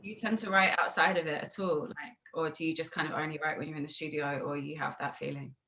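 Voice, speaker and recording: A woman's voice: low-pitched, steady tone, quick pace, bright, forceful tone, slight background noise. A woman hosting a casual music interview over a video call, talking informally and thinking aloud as she asks her questions. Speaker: interviewer, music show host.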